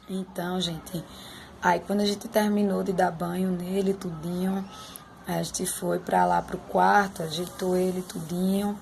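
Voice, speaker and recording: Hoarse, heartfelt woman talking to viewers. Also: lowish voice, little pitch movement, takes it slowly, a little mellow, a little hiss or hum. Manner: heartfelt, chatty, addresses viewers directly. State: slightly hoarse. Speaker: vlogger. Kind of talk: emotional personal vlog.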